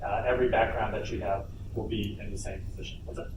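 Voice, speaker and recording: A man giving an informal instructional panel talk, speaking casually and haltingly with playful asides. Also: fairly low pitch, steady tone. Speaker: workshop presenter on a convention panel. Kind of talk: informal instructional presentation to an audience. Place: convention panel room. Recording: noisy, echoey room, distant mic.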